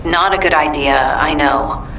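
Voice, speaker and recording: An actress voicing a protective, resolute mother in a video game cutscene, speaking calmly, firmly and tenderly. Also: low voice, unhurried. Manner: calm, firm and tender. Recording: roomy and distant, with noise.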